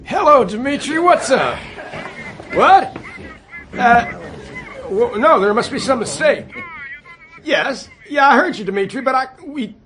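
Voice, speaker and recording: An actor playing a flustered, placating American President on a hotline call in a satirical radio play, halting and stammering politely. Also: male voice, extremely high pitch, expressive, fairly bright tone, some chatter behind, slight background noise, heavily acted, low-quality recording. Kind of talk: satirical radio comedy drama.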